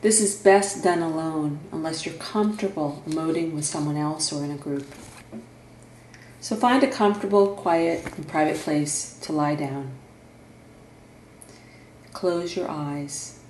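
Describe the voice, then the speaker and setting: Slow-paced woman guiding a meditation, roomy sound. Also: deep voice. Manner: soothing, gentle instructional, with long pauses. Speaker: meditation guide and therapist. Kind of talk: guided meditation narration. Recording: roomy echo, faint background noise.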